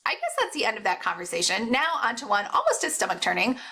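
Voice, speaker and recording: A woman reading first-person novel narration aloud in an expressive, animated storytelling way. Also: mid-range voice, quick pace. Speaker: audiobook-style reader.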